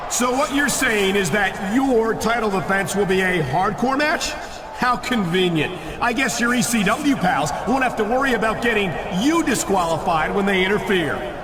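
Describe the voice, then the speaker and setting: High-pitched, indignant wrestling announcer. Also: male voice, lively intonation, moderate pace, noisy background. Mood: challenging. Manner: indignant, confrontational. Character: outraged righteous male wrestling TV announcer. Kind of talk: wrestling game commentary and interview.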